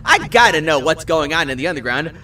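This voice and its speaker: A man's voice: on the high side, expressive, brisk, bright, forceful tone, distant mic, faint music behind. A man voicing a cocky, foul-mouthed supernatural hunter in an audio drama, sarcastic and taunting. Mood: smug, sarcastic. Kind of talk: dramatized audio fiction dialogue.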